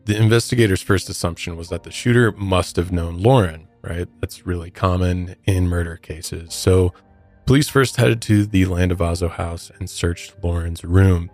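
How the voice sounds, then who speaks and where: A man's voice: sits low, normal speed, a little mellow, faint music behind. A man hosting a true crime podcast, explaining the case conversationally and addressing the listener directly. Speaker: podcast host.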